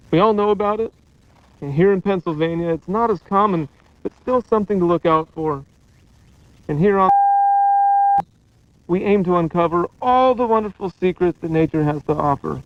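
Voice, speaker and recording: A man performs a cheerful male host of a children's nature programme. His voice sits high and his delivery is hearty and inviting. The sound is a lo-fi old TV broadcast with faint noise. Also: moderate pace, long pauses, close to an everyday voice. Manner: hearty, welcoming presenter delivery. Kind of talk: scripted educational TV host narration.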